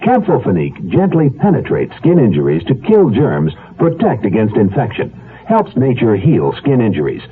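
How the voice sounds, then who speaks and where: A man's voice: mid-range voice, wildly swooping pitch, moderate pace. A man reading a scripted radio commercial as an announcer. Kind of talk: scripted advertisement narration. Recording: tinny, band-limited old radio ad.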